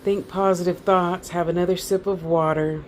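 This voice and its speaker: A woman's voice: lowish voice, steady tone, normal speed, darkish tone, slight background noise, low-quality recording. A woman leading a guided meditation class with soothing, informal instructions, playful asides and lulling repeated phrases.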